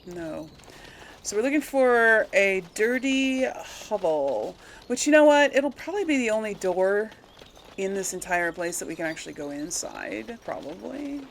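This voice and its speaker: A woman's voice: medium pitch, sing-song, measured pace, slight background noise. Chatty woman doing casual game commentary. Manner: chatty, thinking aloud. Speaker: gaming video host. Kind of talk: casual let's play commentary.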